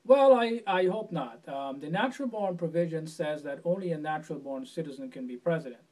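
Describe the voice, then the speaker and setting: A man from Guyana answering interview questions as a presidential candidate, in an earnest, measured way with small restarts. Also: a little high, lively intonation, a little mellow, far from the mic, poor audio.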